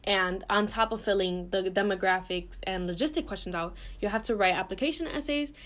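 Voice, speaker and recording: A woman's voice: mid-range voice, fairly quick, fairly bright tone. Young woman from puerto rico talking thru her slides, earnest, lots of ums and uhs, mid-range voice. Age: young adult. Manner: earnest, explanatory, frequent "um" and "uh" fillers. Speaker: student presenter. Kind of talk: recorded slide presentation.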